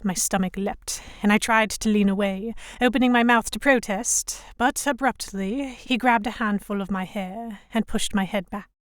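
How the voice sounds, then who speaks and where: A woman acting a young Victorian noblewoman, mid-pitched, defiant, sardonic, formal diction, first-person drama narration, very dry sound. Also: steady tone, moderate pace, hardly any pauses, no room sound, close to an everyday voice. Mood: tense narration. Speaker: narrator and lead voice actor.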